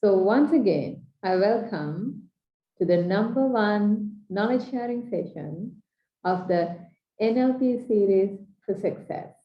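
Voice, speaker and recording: A woman from Sri Lanka with a Sri Lankan English accent, giving an earnest, measured coaching talk in short, paused phrases. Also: mid-range voice, expressive. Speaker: transformational coach. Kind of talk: slow reflective training talk. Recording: dull echoey room recording.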